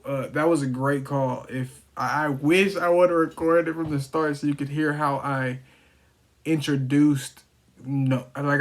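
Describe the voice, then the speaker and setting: Even-toned man making casual sales calls. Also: medium pitch. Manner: informal, explanatory. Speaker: real estate wholesaler making cold calls.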